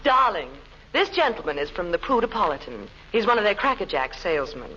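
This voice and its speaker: An actress playing a scheming, sardonic wife, bossy and mock-sweet, in 1940s radio comedy style. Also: female voice, mid-range voice, very animated, a little fast, close to an everyday voice. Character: scheming wife, a sardonic comic femme fatale. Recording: noisy, muffled old radio recording.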